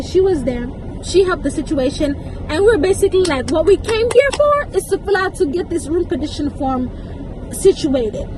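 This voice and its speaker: High-pitched young woman telling an exasperated story. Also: noisy background. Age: young adult. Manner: exasperated, emphatic, repeats words and asks rhetorical questions. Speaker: storytime vlogger. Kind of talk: casual storytime rant to viewers.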